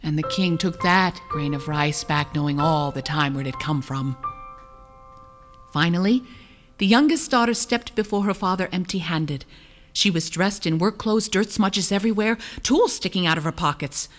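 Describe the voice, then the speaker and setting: A woman telling a children's fairy tale in an expressive, deliberate, dramatic storytelling manner. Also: on the low side, soft background music. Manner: dramatic, deliberate, acts out characters.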